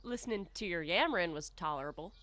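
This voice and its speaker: A woman's voice: lowish voice, expressive, fast, fairly bright tone, no room sound. A woman acting in a radio play as a dry-witted, hesitant teenage girl. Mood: wry, offhand.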